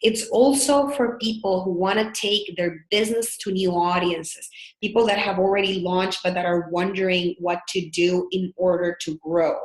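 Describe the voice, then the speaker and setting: A woman's voice: on the low side, steady tone, moderate pace. Salvadoran woman presenting a webinar, echoey room. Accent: Salvadoran Spanish. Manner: earnest, upbeat, with frequent filler words. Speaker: business coach hosting a webinar.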